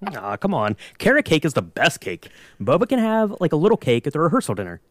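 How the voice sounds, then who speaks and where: A man's voice: on the high side, wildly swooping pitch, fast talker, dry recording. A man on a podcast panel, chatting casually in an easygoing, joking way. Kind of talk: casual chat.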